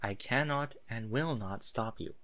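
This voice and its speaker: A man voicing a motherly female pony teacher, gentle yet firm, with a soothing, measured and earnest manner. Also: medium pitch, dry recording. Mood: soothing, concerned.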